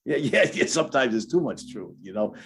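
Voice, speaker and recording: A man with a Brooklyn accent talking casually in an interview, haltingly, repeating words and phrases as he goes. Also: mid-range voice, very animated, gravelly. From Brooklyn, New York. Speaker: author being interviewed.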